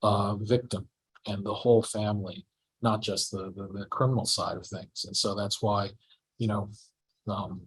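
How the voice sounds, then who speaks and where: A man lawyer with a low, husky voice talks in a conference room. He halts often and restarts his sentences, filling gaps with "uh" and "you know". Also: flat delivery, low-quality recording. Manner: halting, many restarts and fillers. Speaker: lawyer, panel guest. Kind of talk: conversational panel talk.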